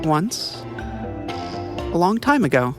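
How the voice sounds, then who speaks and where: Female actor as poised lady sleuth, playful, dry, very animated pitch, comedy audio drama, faint music. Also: takes it slowly. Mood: arch, dry. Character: poised, teasing female client turned detective's partner.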